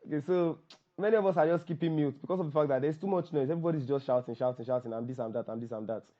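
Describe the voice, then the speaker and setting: A Nigerian man with a voice hoarse from shouting, hosting an informal group call in a joking, self-deprecating, repetitive way. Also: a little high, rapid-fire. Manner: joking, self-deprecating, repeats himself for emphasis. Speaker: workshop host and copywriter. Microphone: online call microphone. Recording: dull, lo-fi group call audio.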